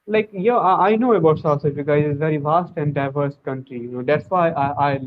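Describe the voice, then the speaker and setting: A man's voice: on the high side, fairly quick. A man practicing English on a video call, searching for words with many fillers and restarts. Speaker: English learner. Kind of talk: casual video-call conversation. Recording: tinny phone-like line.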